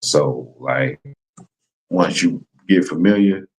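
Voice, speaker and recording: Man, very low husky voice, laid-back explainer. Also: slow pace, darkish tone. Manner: laid-back, constantly asks "you know what I'm saying". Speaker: class member explaining greetings. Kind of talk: casual chat.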